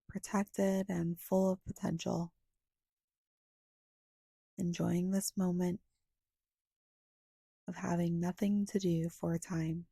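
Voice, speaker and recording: A woman leads a guided meditation in a soft, husky, breathy voice that often slips toward a whisper. She speaks very slowly and evenly, with long pauses between short, soothing phrases. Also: fairly low pitch, level tone, no echo, studio quality.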